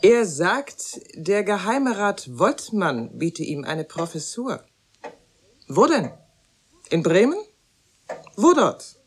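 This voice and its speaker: A woman's voice: mid-range voice, sing-song, takes it slowly, pauses often, husky, heavily acted. An actress playing a sceptical, mocking society lady who drawls pointed questions and gossip in a radio drama. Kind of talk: German radio drama acting.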